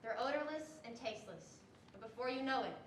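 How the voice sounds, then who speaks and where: Young lady doing calm measured therapist in a stage reading, highish, far from mic, echoey. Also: close to an everyday voice. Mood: measured, instructive. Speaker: student performer. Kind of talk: reader's theatre recitation. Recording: echoey room, distant mic, faint noise.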